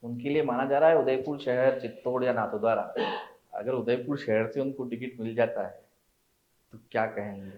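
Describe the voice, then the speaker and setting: Male interviewer, probing, mid-pitched, roomy dull recording. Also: fairly even intonation, moderate pace. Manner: probing, conversational.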